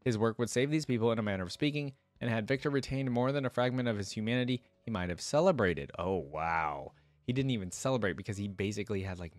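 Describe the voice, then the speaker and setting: Low-voiced young man, dry close-miked sound. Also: no room sound. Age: young adult. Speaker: reaction video host. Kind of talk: reading lore aloud with casual reaction commentary. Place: indoors at a desk. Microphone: close desk microphone.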